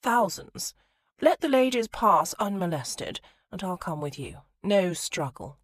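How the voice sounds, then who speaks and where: A woman's voice: fairly low pitch, lively intonation, normal speed, no echo. A woman voicing a stern, commanding young aristocrat in an audiobook, speaking in firm, clipped commands.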